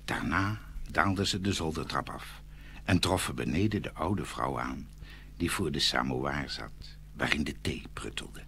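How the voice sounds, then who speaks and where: A male storyteller narrates a fairy tale. His voice is low and lively, with a bright, forceful edge and dramatic delivery. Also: unhurried, vocal fry, breathy edge.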